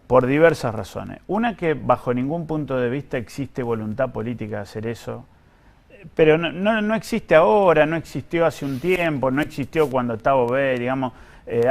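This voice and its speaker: A man's voice: medium pitch, gravelly. An Argentine man answering in an interview as an official, explaining in a hesitant way and often repeating himself. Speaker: guest, pension fund director.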